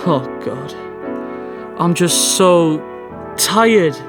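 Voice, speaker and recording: An actor playing a sickly, self-pitying teenage schoolboy with a North East English accent in an audio drama monologue, glum and sardonic. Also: male voice, a little high, expressive, extremely slow, over music. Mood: wistful, weary. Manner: glum, complaining, melodramatic.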